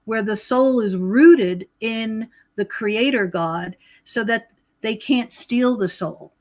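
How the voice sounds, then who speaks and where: A woman's voice: fairly high pitch, takes it slowly, darkish tone. Earnest woman explaining spiritual ideas. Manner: earnest, explanatory, quotes scripture. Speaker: podcast guest, author and researcher. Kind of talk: conversational spiritual teaching.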